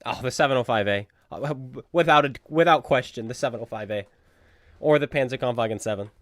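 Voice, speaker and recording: A man's voice: mid-range voice, brisk, fairly bright tone, dry recording. A man from New England with a plain American accent, chatting with viewers while he streams a tank game. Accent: plain General American, Boston trained out. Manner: chatty, talks to viewers by name. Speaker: gaming streamer. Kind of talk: casual chat while playing a tank game, reading chat.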